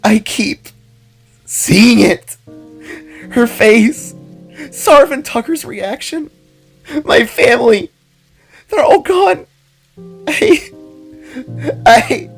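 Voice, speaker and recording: A man voicing a guilt-ridden young spark creature in an animated-style audio story, crying and stammering in grief. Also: very high voice, drawn-out words, long pauses, slightly rough, faint music behind, voice pushed up, full character voice. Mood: grief-stricken sobbing. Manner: whimpering, stammering, bursting into near-screams.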